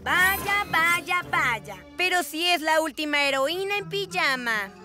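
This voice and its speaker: Smug high-voiced boy villain. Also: expressive, full character voice. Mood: smug taunting. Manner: mocking, drawn-out theatrical taunts. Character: gloating boy mad-scientist villain, smug and taunting. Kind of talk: animated children's cartoon dub. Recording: music bed behind the voice.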